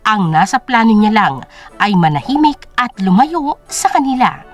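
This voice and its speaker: A woman's voice: on the high side, big pitch swings, normal speed, faint music behind. A woman narrator reading a scripted story in a dramatic storytelling style.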